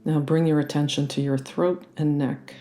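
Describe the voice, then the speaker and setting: A meditation guide leading a guided relaxation in a gentle, soothing and unhurried manner. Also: medium pitch, steady tone. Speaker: yoga nidra meditation guide.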